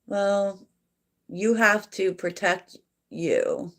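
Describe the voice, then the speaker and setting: A woman hosting a tarot livestream, chatting softly and reflectively with viewers and laughing often. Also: mid-range voice, animated, very slow. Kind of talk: casual livestream chat answering viewers.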